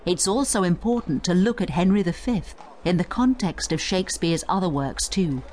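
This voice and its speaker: Lively, expressive woman tutor. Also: fairly low pitch, moderate pace, a little hiss or hum. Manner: explanatory, emphatic, addresses the listener directly. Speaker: narrator and tutor of an audio study guide. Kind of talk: scripted educational narration.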